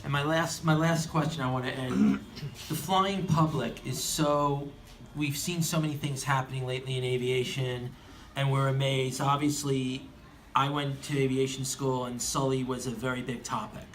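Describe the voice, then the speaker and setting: A man with a mid-range voice works as an interviewer. His questions are earnest, deliberate and wordy. Faint background noise sits behind him. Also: medium pitch, fairly even intonation. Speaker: interviewer, aviation enthusiast.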